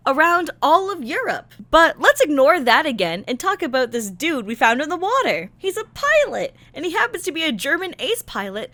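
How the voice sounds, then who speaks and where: A woman's voice: high-pitched, sing-song, moderate pace, hardly any pauses, bright, forceful tone, dry recording. A woman giving a casual, slangy recap commentary as a content creator, with sarcastic, exasperated asides and theatrical emphasis. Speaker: content creator reviewing a visual novel.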